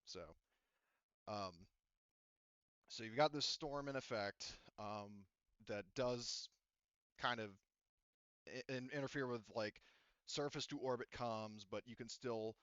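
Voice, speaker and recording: A man's voice: medium pitch, unhurried, long pauses, fairly bright tone, smooth, no room sound. A man narrating as game master of a tabletop game, rambling through scene details with many fillers and restarts. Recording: slightly dull lo-fi audio.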